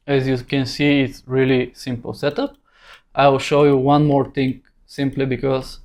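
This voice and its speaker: Slow, halting male tutorial instructor. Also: medium pitch, creaky, a little mellow. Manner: halting, thinking aloud in short phrases. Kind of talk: unscripted screen-recorded tutorial narration.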